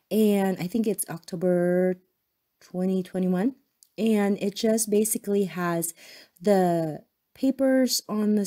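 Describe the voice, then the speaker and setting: Hoarse woman chatting over a craft tutorial. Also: lowish voice, dark tone. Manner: chatty, rambling with frequent ums and self-corrections. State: hoarse from a cold. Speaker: crafting channel host.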